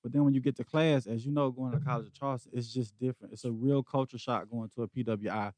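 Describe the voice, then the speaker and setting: A man in his forties from Memphis, a podcast guest telling stories in a relaxed, easygoing way and laughing easily. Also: mid-range voice, quick pace, no room sound. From Memphis, Tennessee. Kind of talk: casual conversation.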